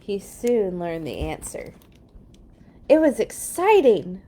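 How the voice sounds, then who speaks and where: Slow-paced woman reading a children's storybook. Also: medium pitch. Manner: expressive, playful asides to young listeners. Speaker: children's read-along storyteller. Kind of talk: storybook read-aloud with animated asides.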